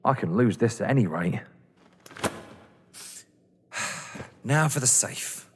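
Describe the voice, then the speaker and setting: A man's voice: mid-range voice, lively intonation, slow. An actor playing a nervous, grumbling young man who is a reluctant amateur burglar in an audio drama. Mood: flustered. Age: young adult. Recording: long hall-like reverb, noisy.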